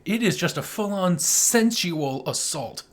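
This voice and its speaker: A man with a slightly high voice chats as a podcast co-host. His casual film talk is gushing and emphatic, with salty language. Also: lively intonation, a little slow. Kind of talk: casual film review chat.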